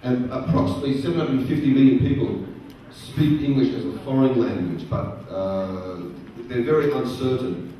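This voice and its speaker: A middle-aged man speaking to a live audience at a career event, using slow, simple, repeated phrasing and asking the crowd questions. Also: mid-range voice, dark tone. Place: large conference hall. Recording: very echoey hall, distant voice, faint noise.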